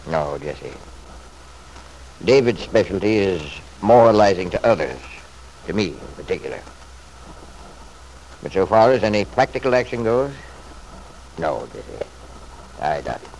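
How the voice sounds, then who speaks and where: Low-voiced, blustering stubborn father. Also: big pitch swings, unhurried, pauses often, a little mellow, heavily acted. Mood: confiding, dismissive. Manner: blustering, emphatic, self-justifying. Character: stubborn, selfish, hard-hearted middle-aged father, indignant. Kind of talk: old-time radio soap opera acting. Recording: noisy vintage radio drama recording.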